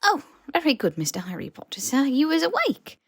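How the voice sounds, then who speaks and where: Lady putting on a tiny squeaky boy house elf, super eager servant, high pitched storybook voice, close dry sound. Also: wildly swooping pitch, fairly quick, no echo, full character voice. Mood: eager, delighted. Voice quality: squeaky, soft. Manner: obsequious, odd servile grammar.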